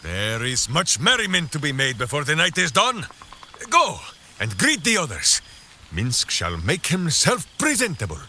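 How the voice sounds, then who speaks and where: An actor playing a hearty, simple-minded big warrior in a video game, boisterous and theatrical, speaking of himself in the third person. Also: male voice, fairly high pitch, huge pitch swings, a little slow, sharp tone, a little hiss or hum, heavily acted. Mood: jovial. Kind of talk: video game character acting.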